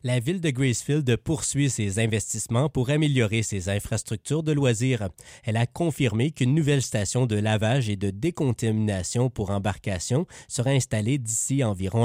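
A man with a Quebec French accent reading local news reports in a steady, even delivery. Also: mid-range voice, dry recording, studio quality. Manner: relaxed chatty in banter, steady when reading. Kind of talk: read news report with casual banter.

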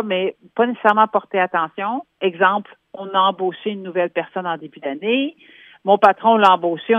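A Québécois woman talks on a radio segment about work, walking through her points with practical examples. Her voice comes down a tinny phone line with a narrow, dry sound. She sits at a middling pitch. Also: no room sound. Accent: Québécois French. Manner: explanatory, gives concrete examples. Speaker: workplace columnist calling in. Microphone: telephone.

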